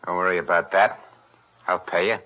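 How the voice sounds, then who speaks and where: A man's voice: low-pitched, flat delivery, moderate pace, lightly acted. Man acting young male crook, slangy, defensive, edgy, 1940s radio thriller, dull old recording. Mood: defiant.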